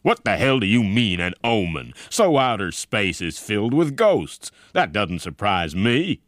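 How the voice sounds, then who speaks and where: A gruff, bullying general from a storybook, acted out by a male narrator, barks in a raised, commanding voice. He is blunt and short-tempered, and the recording is close and dry. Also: on the low side, big pitch swings, measured pace, fairly bright tone, no room sound, voice pushed up. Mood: scornful.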